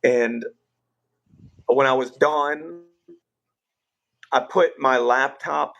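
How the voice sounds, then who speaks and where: A young man hosting a livestream, telling stories in a relaxed, rambling way with filler words, his voice a bit rough. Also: mid-range voice, extremely slow, highs cut off. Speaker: livestream host. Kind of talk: casual livestream chat.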